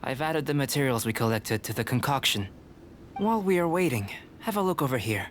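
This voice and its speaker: A man voicing a composed young alchemist in a video game, speaking in a measured, lecturing way. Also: medium pitch, lively intonation, a little hiss or hum, close to an everyday voice. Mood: calm. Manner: measured, lecturing, coolly confident. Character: calm young male alchemist scholar, composed and aloof. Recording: studio game voice with music behind. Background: game music.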